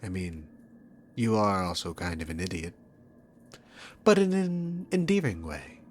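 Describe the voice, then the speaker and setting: Demon king villain, played by a man: very low register, unhurried, teasing and dominant, sarcastic ASMR roleplay delivery. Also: sing-song, a little hiss or hum. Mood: amused, coaxing. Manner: smug, teasing, drawn-out theatrical phrasing. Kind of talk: scripted ASMR roleplay addressed to the listener.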